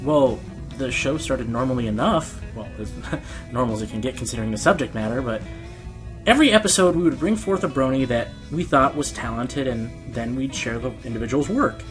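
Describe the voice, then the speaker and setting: A man's voice: mid-range voice, brisk, close to an everyday voice. Over background music comes a man acting a male pony radio host in an audio drama. His mid-range voice is earnest and self-deprecating, with a defensive, passionate temper. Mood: reflective. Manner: earnest, hesitant, turning indignant. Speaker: radio show host being interviewed. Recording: music behind the voice.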